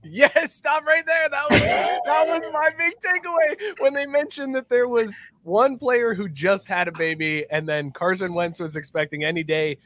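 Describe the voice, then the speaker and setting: A man's voice: very high-pitched, moderate pace, few pauses, fairly bright tone. A man hosting a casual comedic sports podcast, jumping in with profane jokes and steering the talk. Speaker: podcast host.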